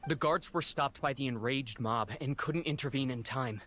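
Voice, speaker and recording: A formal male guard in a fantasy game, played by a man. His mid-pitched voice is dutiful and matter-of-fact, with quiet music underneath. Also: moderate pace, close to an everyday voice. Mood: grave, formal. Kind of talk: video game voice acting.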